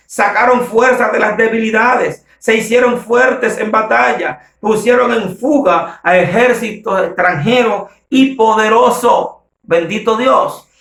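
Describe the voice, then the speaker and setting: High-voiced male preacher in an echoey room. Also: vocal fry, a bit bright. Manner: emphatic, exhortative, repeats phrases for weight. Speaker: pastor. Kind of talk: sermon, preaching to the faithful. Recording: roomy, echoing sound.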